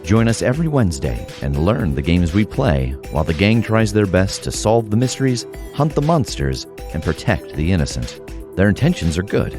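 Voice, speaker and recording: A man with a deep voice narrates a scripted podcast trailer over a prominent music bed. His delivery is dramatic and suspenseful, with a very animated rise and fall in pitch. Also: normal speed.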